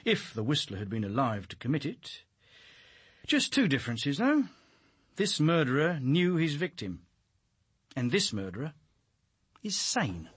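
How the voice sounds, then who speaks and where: An actor playing a shrewd male chief inspector in a radio drama, deliberate and dryly sardonic beneath surface deference. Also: fairly high pitch, sing-song, breathy edge, no echo, heavily acted. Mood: wry, pointed.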